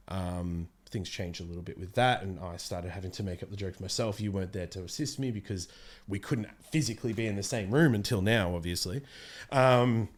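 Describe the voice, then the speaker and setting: A man in his thirties chatting casually as an interview guest, rambling and interrupting himself. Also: sits low. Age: 30s. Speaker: voice actor being interviewed. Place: small room studio, indoors. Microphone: close podcast microphone.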